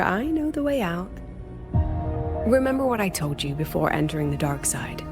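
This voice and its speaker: Game mentor lady, composed n teasing, wry, measured, bit haunted underneath, music playing loud-ish behind. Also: on the low side, creaky. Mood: wry, playful. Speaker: video game voice actress. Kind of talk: scripted game dialogue. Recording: studio voice over game music.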